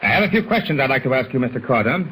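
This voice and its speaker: An actor playing a stern naval base commander in a 1940s radio serial, brisk, clipped and authoritative. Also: male voice, mid-range voice, very animated, a bit bright. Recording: slightly noisy 1940s radio recording.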